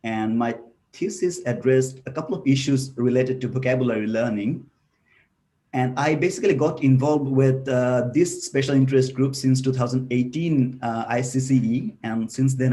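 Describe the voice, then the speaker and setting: A man's voice: mid-range voice, moderate pace, warm tone. A young man from Bangladesh giving an academic webinar talk over a video call, hesitant with many filler sounds. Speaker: webinar presenter, researcher. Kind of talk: academic presentation. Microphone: computer mic on a video call.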